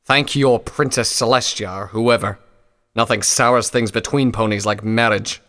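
A man's voice: on the low side, fairly even intonation, a little slow, a bit bright, in a large hall. A man voicing a grouchy, cynical old male pony doctor who grumbles and snaps in a story. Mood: grousing, cynical. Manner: snappish, grumbling, dismissive.

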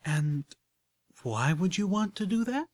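A man's voice: high-pitched, sing-song, a little slow, voice pushed up, exaggerated voice. A man voicing a blunt, flustered country farm mare with a put-on Southern drawl. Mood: alarmed. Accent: Southern US rural (put on). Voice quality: drawling, exasperated.

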